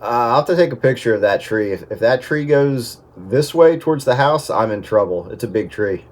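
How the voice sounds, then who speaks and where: A man's voice: mid-range voice, animated, moderate pace. A man chatting casually as a radio operator over a two-way radio with some noise. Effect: two-way radio transmission. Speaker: amateur radio operator in a group check-in. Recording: noisy two-way radio audio.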